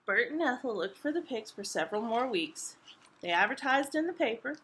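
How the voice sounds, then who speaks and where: Bright, very animated woman reading a children's story. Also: a little high, brisk. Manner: expressive, asks the young listeners questions as she reads. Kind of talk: animated read-aloud of a picture book for toddlers.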